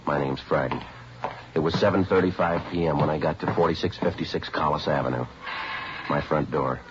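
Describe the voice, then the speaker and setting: An American man playing a terse, even-tempered police detective in a laconic, deadpan 1950s radio drama style. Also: extremely low pitch, brisk, background noise. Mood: matter-of-fact. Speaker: narrator and lead actor. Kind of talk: 1950s radio drama acting, clipped narration. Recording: muffled vintage radio recording, music behind.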